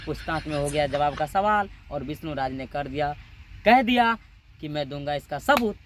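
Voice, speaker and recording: A man's voice: on the high side, lively intonation, moderate pace, a little hiss or hum. A man hosting a YouTube channel with comic reaction commentary, clownish and often repeating his words and phrases.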